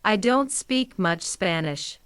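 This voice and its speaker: A woman reads short English phrases for a language lesson, slowly and evenly. Her voice is smooth and crisp, and the recording is dry. Each line is a separate prompt with a pause after it. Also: medium pitch, animated, a bit bright, no room sound. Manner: clear, measured prompts with pauses. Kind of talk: slow scripted phrase reading.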